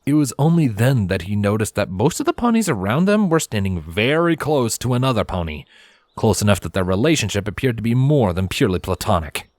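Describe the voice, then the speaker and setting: A man's voice: lowish voice, no echo. A man reading a scripted story aloud as its narrator. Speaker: fan fiction narrator. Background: background music.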